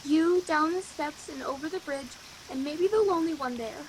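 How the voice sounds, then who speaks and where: Woman as a high-voiced, nervous young townswoman. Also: normal speed, a little hiss or hum, close to an everyday voice, low-quality recording. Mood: fearful foreboding. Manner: anxious, dramatic, pleading. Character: nervous, fretful young townswoman, easily frightened. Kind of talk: 1940s radio play acting.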